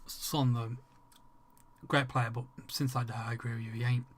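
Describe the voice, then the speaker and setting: A man's voice: mid-range voice, little pitch movement, moderate pace, slight background noise. A man with an English accent chatting casually with viewers during a game livestream, rambling, restarting sentences and swearing freely. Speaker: streamer.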